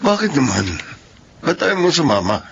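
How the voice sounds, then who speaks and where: An actor with a Filipino accent playing an honest, easygoing working husband in a radio drama. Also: male voice, on the high side, very animated, moderate pace, gravelly, a bit bright, full character voice. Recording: dull, slightly noisy drama audio.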